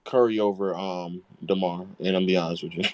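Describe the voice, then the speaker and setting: A man's voice: low voice, fairly even intonation, normal speed, darkish tone, lo-fi sound. Man talking emphatically with many restarts. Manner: emphatic, profane, frequent restarts. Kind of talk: casual discussion.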